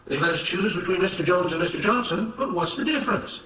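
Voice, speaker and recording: Man acting an impassioned, defiant enslaved worker, raised pitch. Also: lively intonation, fast talker, pushed and bright. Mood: indignant. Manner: impassioned, emphatic, protesting. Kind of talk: dramatized story reading. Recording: roomy, noisy, distant microphone.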